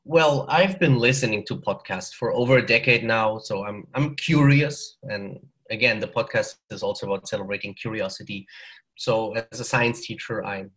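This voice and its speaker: A man's voice: fairly low pitch, normal speed, fairly bright tone, low-quality recording. A man in his forties with a German accent, a podcast guest talking through his answers with small restarts. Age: 40s. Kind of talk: casual interview chat. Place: hot room at home.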